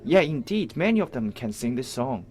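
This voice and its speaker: A man with a Chinese accent interprets live event coverage, speaking in halting, piecemeal phrases with repeated false starts. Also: medium pitch, big pitch swings, darkish tone, slight background noise.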